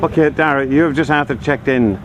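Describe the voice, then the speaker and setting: An Irish man reporting on location, asking brisk, polite questions. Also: medium pitch, background noise. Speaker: radio reporter. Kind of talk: on-location vox pop interviews. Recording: dull-sounding outdoor recording with crowd.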